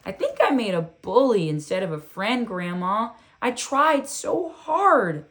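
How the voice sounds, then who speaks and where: A woman's voice: a little high, unhurried. A woman voicing a spirited, eager little schoolgirl in a storybook, with emphatic delivery. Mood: dejected. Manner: excited, emphatic.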